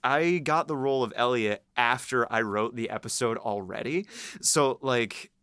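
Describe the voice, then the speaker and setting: A man's voice: medium pitch, normal speed, a bit bright, clear, resonant tone, no echo, clean studio sound. Eager upbeat man chatting on a podcast. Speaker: writer and voice actor. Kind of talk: casual podcast chat.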